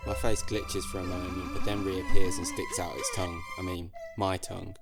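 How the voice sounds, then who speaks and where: A man reading a scripted first-person story in a measured, dry, literary manner with deliberate pauses. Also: low-pitched, flat delivery, vocal fry, loud music behind the voice. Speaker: narrator.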